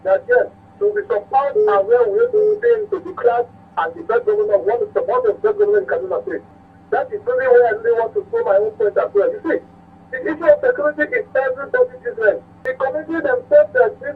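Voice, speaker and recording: A man with a northern Nigerian accent calling a radio phone-in to give his opinion, often repeating words haltingly. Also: very high-pitched. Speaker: phone-in caller. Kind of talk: impassioned opinion on a radio call-in. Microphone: telephone. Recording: tinny narrow phone line.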